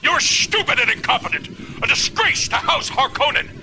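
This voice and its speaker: Piercing, furious villain lord. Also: male voice, extremely high pitch, animated, normal speed, sharp tone, slight background noise, exaggerated voice, low-quality recording. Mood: furious contempt. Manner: scathing, threatening. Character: furious, contemptuous villain lord berating an underling. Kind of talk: video game cutscene acting.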